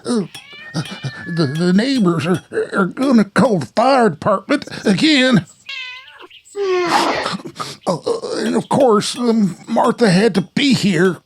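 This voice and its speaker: A man's voice: high-pitched, very animated, takes it slowly, slight background noise, heavily acted. A man playing an anxious, exasperated young grandson in a radio play, hesitant, stammering and dramatic.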